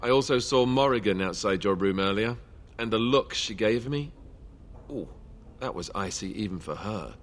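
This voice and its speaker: A man's voice: on the low side, animated, moderate pace, a little hiss or hum, natural voice. An actor playing a wry, joking young king-to-be in a fantasy video game, sardonic and conversational. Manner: sardonic, self-deprecating, conversational. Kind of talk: scripted video game dialogue acting.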